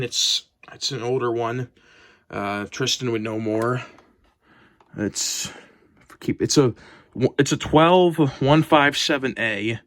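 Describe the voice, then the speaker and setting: A man's voice: medium pitch, fairly bright tone. Casual, rambling man showing a collection. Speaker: hobbyist collector presenting weather radios. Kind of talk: casual unscripted show-and-tell.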